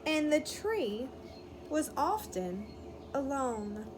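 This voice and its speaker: A woman with a fairly high, very animated voice reads a picture book to young children like a teacher. She explains simply and checks understanding with questions. She is recorded outdoors, with clear ambient noise and wind chimes behind her. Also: extremely slow. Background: birds chirping, wind chime.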